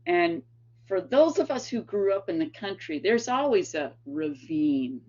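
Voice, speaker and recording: A woman's voice: mid-range voice, very animated, measured pace, vocal fry, darkish tone. Tennessee-accented woman lecturer, rambling anecdotal delivery, dull lo-fi capture. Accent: East Tennessee. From East Tennessee. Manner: chatty, rambling anecdotes with many fillers and laughs. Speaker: guest lecturer and author. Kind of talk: storytelling lecture. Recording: dull lo-fi sound.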